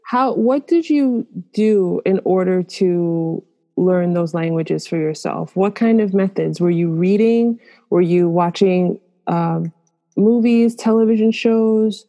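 A woman's voice: on the low side, takes it slowly, mellow. A woman hosting a language podcast, reading a scripted intro and then interviewing a guest in an upbeat way. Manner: upbeat, asks questions, laughs along with the guest. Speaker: podcast host.

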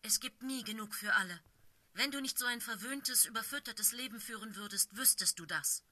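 Woman reading stern she-cat leader, firm, commanding, bright sharp tone. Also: fairly high pitch, normal speed. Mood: angry.

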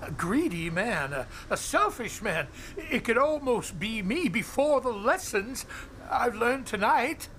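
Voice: mid-range voice, sing-song, a little slow, fairly bright tone, a little hiss or hum. An actor playing a frightened old male miser in a radio drama, speaking in a dramatic, exclamatory way. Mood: humbled, troubled. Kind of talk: theatrical radio drama acting.

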